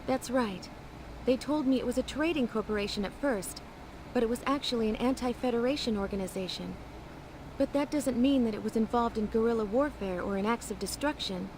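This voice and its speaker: A calm, courteous female ship's officer, acted by a woman, speaks in measured sentences with a fairly high voice. This is video game dialogue with clear noise behind it. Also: natural voice. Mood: explanatory, calm. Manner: measured, polite, composed. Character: composed female second-in-command of a spaceship, calm and courteous.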